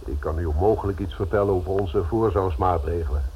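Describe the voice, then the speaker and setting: Guarded male company manager, radio play, courteous, firm, husky, slightly low voice, narrow tinny sound. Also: fast talker. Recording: tinny, narrow-band old radio recording.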